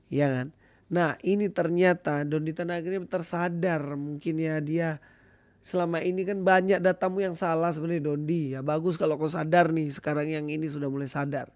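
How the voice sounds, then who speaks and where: A hoarse man with an Indonesian accent, giving casual commentary to his viewers as a video host. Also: on the high side, steady tone, normal speed, a little mellow, no room sound. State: hoarse, recovering from illness. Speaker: YouTube host.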